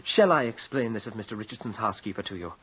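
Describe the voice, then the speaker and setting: An actor playing a scheming young son of a rich squire, obsequious and formal, in an old-time radio drama style. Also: male voice, lowish voice, animated, quick pace, fairly bright tone, a little hiss or hum. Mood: pleading, defensive. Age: young adult. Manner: obsequious, formal archaic phrasing. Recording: dull, lo-fi old radio recording.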